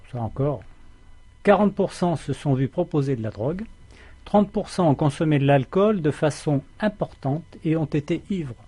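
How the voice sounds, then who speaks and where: A man with a slightly high, mellow voice that carries a husky edge. He comments earnestly on a political radio talk show, stating his opinions in a measured manner.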